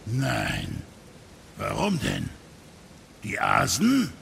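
A blunt, good-hearted dwarf blacksmith in an audio drama. His voice is gravelly and sharp and swings wildly in pitch. He is brash and exclaims colourfully. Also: wildly swooping pitch, very slow, a little hiss or hum, exaggerated voice. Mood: gruff concern. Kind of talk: German audio drama acting.

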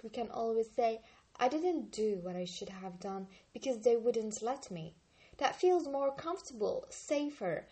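A woman in her twenties from Algeria, an Arabic speaker, reading a book aloud slowly and carefully on her channel. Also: fairly high pitch, mellow. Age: 20s. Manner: slow, careful reading with occasional slips and restarts.

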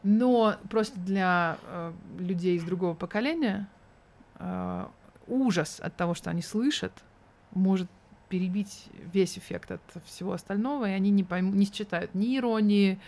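A woman's voice: mid-range voice, normal speed, a little mellow. A woman in her thirties speaking as an interview guest, candid and thoughtful with an ironic edge.

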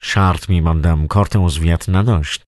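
A man's voice: sits low, steady tone, dry recording, clean studio sound, natural voice. A man voicing a big, blunt, hot-tempered male talking cat in an audiobook.

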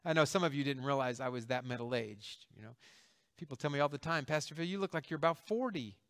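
A man's voice: mid-range voice, lively intonation, fast talker, fairly bright tone. A man in his fifties, a pastor delivering a conversational sermon with jokes and emphatic stresses. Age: 50s.

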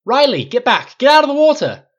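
A man's voice: very high voice, very animated, a little fast, pitched above the performer's own voice. A man voicing a livid, commanding ranch boss who barks and snaps in an audiobook story. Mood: shouted alarm. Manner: snapping, barking, forceful.

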